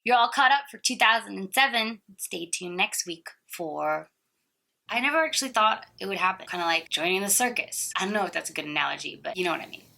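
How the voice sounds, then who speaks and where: A woman's voice: medium pitch, animated, brisk, gravelly, sharp tone. A woman in her thirties from Singapore, narrating her own story in a playful, self-mocking way. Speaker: singer and host. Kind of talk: scripted personal narration.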